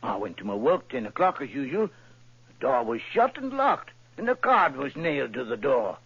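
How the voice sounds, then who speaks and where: An actor playing a plain-spoken, earnest middle-aged shopkeeper in an old radio drama, with homely, rustic turns of phrase. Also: male voice, medium pitch, sing-song, normal speed, a bit bright, slightly rough, no room sound, full character voice. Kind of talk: 1940s radio acting. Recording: muffled dry old radio recording.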